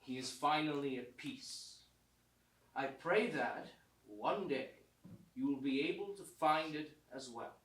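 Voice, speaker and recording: Man acting a slow-spoken, earnest, placating husband. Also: mid-range voice, expressive, a bit bright. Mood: tender, solemn. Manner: halting, earnest, pleading delivery. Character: placating, strained husband of a grieving woman. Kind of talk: staged reading of a stage play. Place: small theatre, live stage performance. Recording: echoey, distant, noisy theatre recording.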